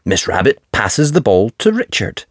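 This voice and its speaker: A woman narrating a children's picture book as an expressive storyteller. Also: rumbling low, moderate pace, no room sound, clean studio sound. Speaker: storyteller, channel host.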